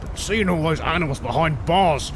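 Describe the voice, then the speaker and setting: A man's voice: fairly high pitch, animated, moderate pace, background noise, natural voice. A man voicing a brash, hot-headed, macho adventurer in a bombastic, declaiming way for a comic dub. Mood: subdued.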